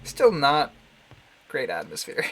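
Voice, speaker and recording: A man's voice: medium pitch, huge pitch swings, slow, gravelly, fairly bright tone, breathy edge, poor audio. A man livestreaming casual commentary on a visual novel, thinking aloud in a rambling, jokey way. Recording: music behind the voice. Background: game music.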